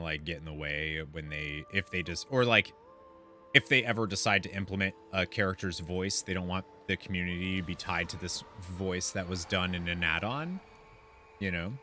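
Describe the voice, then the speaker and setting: A man's voice: low voice, moderate pace, pushed and bright. A man giving casual commentary while playing a fantasy game and reading quest text aloud. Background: game music.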